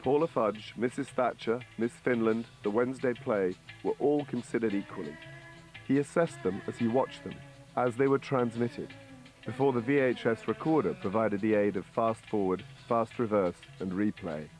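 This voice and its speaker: Male narrator over clear music. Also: on the low side, moderate pace, darkish tone. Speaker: documentary narrator. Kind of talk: scripted narration.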